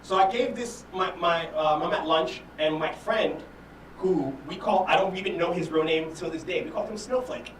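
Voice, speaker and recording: A young man from Orlando telling stories stand-up style in an animated, slangy, profane way. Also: high-pitched, normal speed, slight background noise. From Orlando, Florida, United States. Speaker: stand-up comedian, guest. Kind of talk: stand-up storytelling and casual banter. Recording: echoey room, distant mic, crowd.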